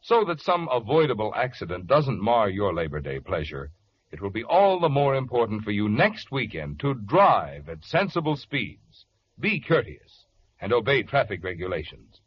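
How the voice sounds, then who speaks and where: A man's voice: fairly low pitch, very animated, moderate pace, slightly rough. An American man announcing in a polished, emphatic 1940s radio style. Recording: dull vintage radio recording, faint music.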